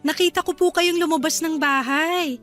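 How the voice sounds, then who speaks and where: A sweet young bride in a radio drama, soft and polite in her speech. Her voice is high and light, with faint music playing under the scene. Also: fairly even intonation, moderate pace. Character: sweet young newlywed wife, polite and gentle. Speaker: young wife.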